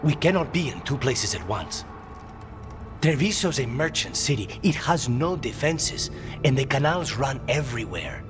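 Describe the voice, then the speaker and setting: Game role: worried, pleading ally, higher voice, music behind. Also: male voice. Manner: urgent, pleading. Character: worried male ally from a merchant city. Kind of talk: video game cutscene acting.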